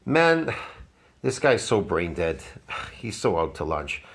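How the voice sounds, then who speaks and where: A man's voice: very animated, slow pace, creaky. A man in his fifties giving mocking, sarcastic commentary on a fitness clip. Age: 50s. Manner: mocking, sarcastic, jumps in pitch. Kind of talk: reaction commentary.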